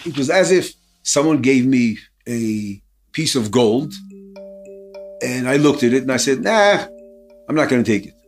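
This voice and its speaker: An older man from Israel, a publisher telling his story in an animated, self-mocking way. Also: mid-range voice, unhurried, faint music behind. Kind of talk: storytelling interview.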